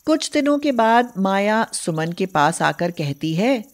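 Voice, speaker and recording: A woman's voice: mid-range voice, big pitch swings, a little slow. A woman narrating a scripted moral story as a storyteller.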